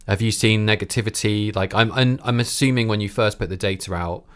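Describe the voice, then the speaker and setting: Male podcast host, deep register, asks questions in a casual, slightly halting and considered way. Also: fairly even intonation, smooth. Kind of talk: casual conversational interview.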